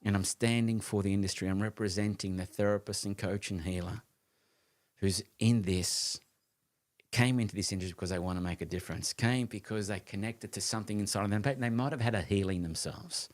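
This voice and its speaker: A man in his late fifties co-hosting a casual conversation, talking in an animated, emphatic way with frequent restarts and the odd casual swear word. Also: on the low side, a little fast. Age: 50s. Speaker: co-host of a live conversation show.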